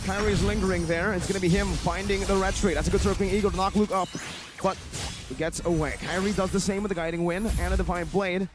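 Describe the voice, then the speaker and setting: A man giving fast play-by-play commentary on an esports match, rising to shouted hype on big plays. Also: sits high, steady tone, music in the background.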